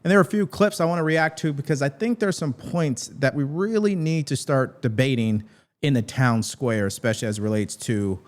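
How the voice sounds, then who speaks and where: A man's voice: medium pitch, brisk. American man hosting political commentary. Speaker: host of a live political commentary show.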